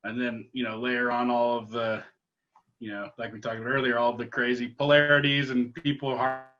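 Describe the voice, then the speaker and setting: A man interviewing a guest in a relaxed, agreeable way, talking loosely with lots of fillers. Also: medium pitch, normal speed, dull audio. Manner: relaxed, agreeable, many fillers and short backchannels. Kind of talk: casual interview chat.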